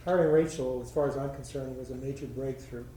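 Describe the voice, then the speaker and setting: A man's voice: medium pitch, steady tone, fast, warm tone, a little hiss or hum. A man on a public commission, talking in a rambling, self-correcting way at a meeting. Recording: echoey chamber, distant mic.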